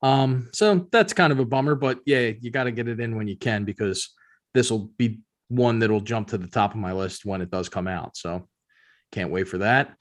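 A man hosts a relaxed, informal podcast about pop culture news. His voice sits at an average male pitch. He talks conversationally, as one fan to others. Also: moderate pace. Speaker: podcast host.

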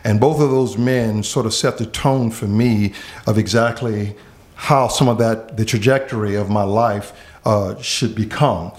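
Earnest halting male pastor. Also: on the low side, fairly even intonation, darkish tone. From Miami, Florida. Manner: halting, many fillers and repeated words. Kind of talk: reflective personal storytelling in conversation.

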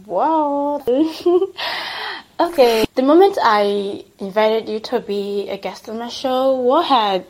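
Nigerian woman podcast host, upbeat and laugh-prone, higher voice, casual interviewer. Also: slow, darkish tone, a little hiss or hum, poor audio. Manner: enthusiastic, laughs often, many exclamations.

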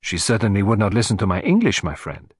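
A man's voice: on the low side, very animated, fast talker, breathy edge, dry recording, studio quality. A man voicing a shrewd, courteous, playful little foreign detective in an audiobook mystery, precise and teasing. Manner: polite, precise, teasing, with French phrases.

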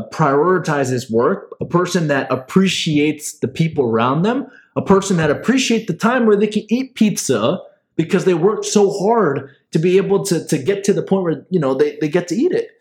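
A young business owner hosting a podcast, talking casually and earnestly, with frequent swearing and motivational advice. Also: male voice, on the high side, expressive, moderate pace. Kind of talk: casual chat.

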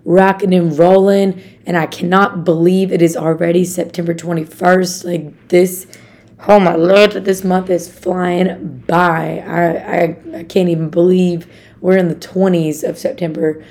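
A young woman with a raspy voice hosts her motivational podcast, chatty and upbeat and talking to her listeners like friends. Her voice sits a little low for a woman. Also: level tone, unhurried, darkish tone. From Arkansas, USA. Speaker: podcast host.

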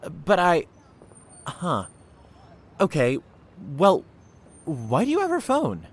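A man's voice: a little high, huge pitch swings, takes it slowly, heavily acted. A man playing an awkward, skeptical young boyfriend in an audio drama, speaking in a hesitant, dry, blunt way. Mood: alarmed, confused. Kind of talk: naturalistic audio drama acting. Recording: noticeable background ambience noise.

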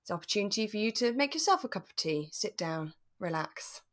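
Female podcast co-host, British accent, medium pitch, easygoing conversational delivery. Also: very animated, fairly quick, fairly bright tone. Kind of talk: relaxed podcast chat.

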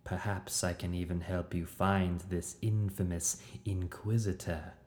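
A man reading a scheming male fright who coaxes and condescends in a calm, measured way. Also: deep voice. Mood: tempting. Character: scheming male fright, silken manipulative villain. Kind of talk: audiobook character voice.

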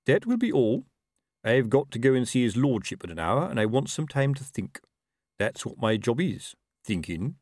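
A man's voice: mid-range voice, very animated, moderate pace, darkish tone, dry recording, studio quality. A man voicing a self-important, pompous and rather dim commanding officer in a storybook. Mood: self-satisfied.

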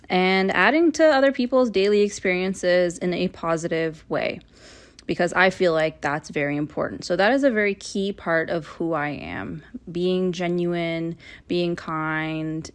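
A young woman from Canada in her twenties hosts her own podcast. She talks in a friendly, earnest way in a slightly low voice, with fillers and small laughs here and there. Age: 20s. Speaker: podcast host. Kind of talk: casual solo podcast talk.

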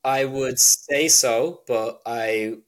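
A young man talking casually as an interview guest, halting with frequent restarts and fillers. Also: medium pitch. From Ireland. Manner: hesitant, many restarts and fillers.

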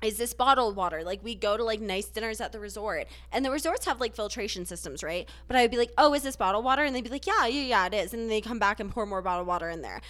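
A woman's voice: medium pitch, fast, few pauses, a bit bright. A young woman co-hosting a podcast, chatting casually and telling stories full of "like" and "um" while she is sick and congested. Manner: chatty, storytelling with lots of likes and ums. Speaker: podcast co-host. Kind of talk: casual chat.

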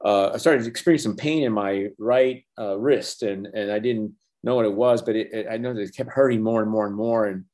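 An older man whose first language is Spanish, talking earnestly in a casual interview, halting with many restarts and fillers. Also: fairly low pitch, a little mellow. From Los Angeles. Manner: earnest, reflective, faith-centered. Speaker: animation professor and former feature animator, guest.

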